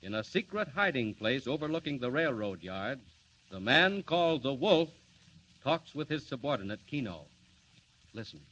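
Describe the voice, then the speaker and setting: A man announcing an old-time radio serial with a bright, ringing, emphatic delivery and a lively, rising-and-falling pitch. The recording is dull and lo-fi with a faint hiss. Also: normal speed.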